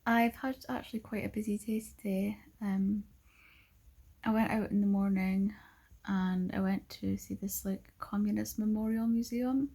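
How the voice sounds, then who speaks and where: A young woman talks casually to her camera, her sentences wandering and packed with fillers. Her voice has a grainy, gravelly texture with a breathy, husky edge. She sits at a middling pitch for a woman. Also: fairly even intonation, moderate pace, warm tone. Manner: rambling, full of "like" and "um". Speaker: vlogger.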